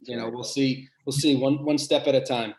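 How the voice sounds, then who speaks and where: Coach guy with a big voice, earnest, lots of pauses and restarts, middle pitch. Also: fairly even intonation, darkish tone. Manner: earnest, thinks aloud with many pauses and restarts. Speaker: head basketball coach. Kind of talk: podcast interview answers.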